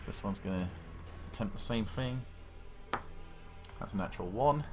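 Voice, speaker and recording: Deep-voiced male game master. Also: moderate pace, over loud music. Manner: vivid, blow-by-blow descriptions with sound effects. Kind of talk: narrating a tabletop horror game.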